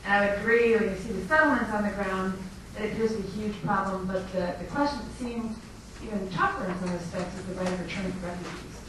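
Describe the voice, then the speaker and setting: A woman's voice: medium pitch, fast talker. A woman asking a thoughtful question from the audience at a public talk, with some hesitation. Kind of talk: question from the floor. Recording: echoey hall, distant mic, noise.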